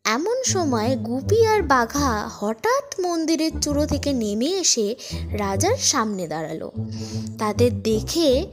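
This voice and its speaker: High-voiced woman storyteller over music. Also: big pitch swings, takes it slowly. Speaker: story narrator. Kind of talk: expressive scripted story narration. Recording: loud music behind the narration.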